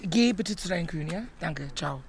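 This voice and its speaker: An actress playing a brisk, caring, easily irritated young woman from the city, often hushed, in a naturalistic radio drama. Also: lowish voice, expressive, a little hiss or hum.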